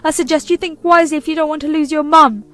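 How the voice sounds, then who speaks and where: A whiny, manipulative mother-in-law who accuses loudly and plays the victim, put on by a woman reading a story. Her voice is pushed well above the reader's own, strained and loud. Her complaints come out melodramatic and shrill. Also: fairly even intonation, fast talker. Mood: shrill accusing.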